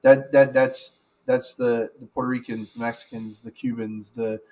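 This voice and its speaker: A man's voice: medium pitch, fairly even intonation, moderate pace, creaky, a little mellow, low-quality recording. A man co-hosting a casual political chat podcast who rambles and often restarts his sentences. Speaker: podcast co-host.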